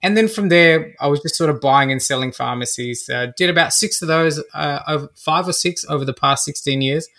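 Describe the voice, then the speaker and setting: A man's voice: fairly high pitch, a little fast, hardly any pauses, pushed and bright. An Australian-accented man around forty, a business coach answering interview questions conversationally. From Australia (Melbourne), born in Fiji. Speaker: podcast guest, business coach.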